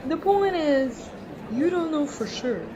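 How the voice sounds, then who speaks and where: Audio drama role: seventh-grade boy, reassuring pal, raised pitch, muffled sound played by a woman. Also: animated, slow pace, a crowd in the background, background noise. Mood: encouraging.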